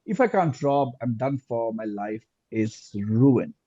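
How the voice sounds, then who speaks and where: Male quiz host, mellow husky voice, deliberate question reading, close dry sound with dull lo-fi call audio. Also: mid-range voice, animated, no echo. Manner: deliberate, repeats answers and phrases. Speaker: quizmaster.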